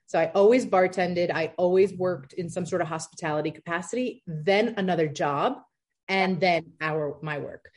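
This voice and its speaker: Woman in her 30s, kinda low voice, chatty and upbeat, lots of likes and ums. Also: moderate pace. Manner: chatty, lively, laughs easily, many fillers. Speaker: entrepreneur guest. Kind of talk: casual interview answers.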